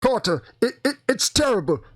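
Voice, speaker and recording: A man's voice: very high voice, very animated, moderate pace, breathy edge, no room sound, clean studio sound, voice pushed up, heavily acted. A man playing a terrified occultist calling from a crypt, shaky and stammering in a radio play. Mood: panicked horror. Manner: stammering, breathless exclamations.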